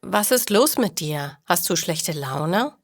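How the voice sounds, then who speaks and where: Woman reading as a slow, reassuring female friend. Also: lowish voice, lively intonation, no echo, clean studio sound, exaggerated voice. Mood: concerned. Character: caring, philosophical woman consoling her friend.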